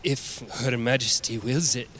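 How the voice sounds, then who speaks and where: A man's voice: medium pitch, measured pace, fairly bright tone, slight background noise, close to an everyday voice. A man playing a loyal, honourable general and knight in an audio drama, earnest and deferential in his speech.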